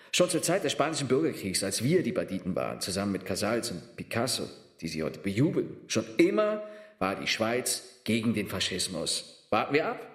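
A male actor's brooding, sardonic sculptor and former soldier, full of self-doubt, in a radio play. His lowish voice moves widely up and down. It sounds in a clearly echoing room. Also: lightly acted. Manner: brooding, sardonic, halting when confessing.

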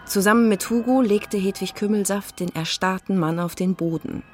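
A woman narrates a children's radio play with dramatic suspense. Her pitch is middling, and music plays clearly behind her scripted storytelling. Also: normal speed.

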